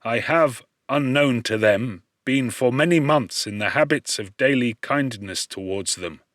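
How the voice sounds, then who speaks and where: Man acting a slow-spoken, lonely outcast creature. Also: medium pitch, a bit bright, no room sound, studio quality, lightly acted. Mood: earnest, troubled. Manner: formal, earnest, pleading. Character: lonely outcast male creature, humble, anxious, eloquent. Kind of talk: audiobook character voice.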